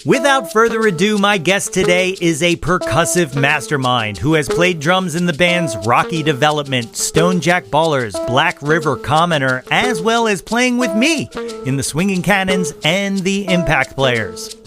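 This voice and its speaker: A man's voice: on the high side, expressive, unhurried, music in the background. A middle-aged American man hosting a podcast, effusive and affectionate, moving between scripted introduction and casual interview chat. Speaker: podcast host.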